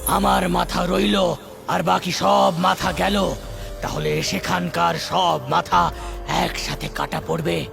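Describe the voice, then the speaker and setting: A man voicing a quarrelsome cartoon giant demon with a gravelly voice and drawn-out, declaiming delivery. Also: high-pitched, steady tone, full character voice. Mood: boastful explaining. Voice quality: gravelly, creaky. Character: gravelly giant demon squabbling over inheritance. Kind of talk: cartoon fairy-tale voice acting. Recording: loud music bed. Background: music.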